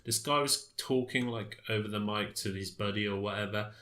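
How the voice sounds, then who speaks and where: Congested man, bright forceful voice, slightly low, echoey room, rambling game commentary. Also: normal speed. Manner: rambling, many fillers and restarts. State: congested from a cold. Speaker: gaming commentator. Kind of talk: casual gameplay commentary.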